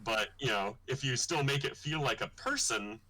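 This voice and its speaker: A young man with a bright, forceful tone talks casually on his livestream. He explains his art in a thoughtful, rambling way, with plenty of fillers. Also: normal speed, poor audio. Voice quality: deep voice. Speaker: comic artist hosting his launch stream.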